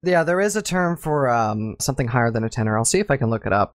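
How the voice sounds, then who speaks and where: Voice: medium pitch, lively intonation, fast, no room sound. A podcast host who rambles through casual chat and laughs often.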